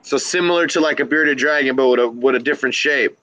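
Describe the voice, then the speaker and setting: A man's voice: mid-range voice, fast talker, bright, forceful tone. A man hosting a reptile keepers' live stream in a casual, slangy, upbeat style, hyping up the community. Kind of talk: casual live-stream chat with shout-outs.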